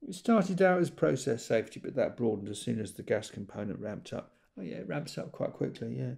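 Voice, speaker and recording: A man's voice: mid-range voice, expressive, brisk, gravelly, darkish tone. A man playing a busy, jargon-heavy corporate consultant on a one-sided call in an audio drama, businesslike but hesitant.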